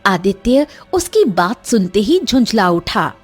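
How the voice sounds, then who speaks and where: A woman's voice: mid-range voice, sing-song, a little slow, husky, faint music behind. A woman giving dramatic scripted narration of a cartoon fairy tale. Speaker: story narrator.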